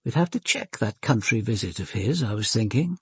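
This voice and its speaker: An actor playing a composed senior British police detective who narrates and questions in a measured, formal radio drama style. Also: male voice, on the low side, lively intonation, husky, no echo, studio quality. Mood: measured, observant.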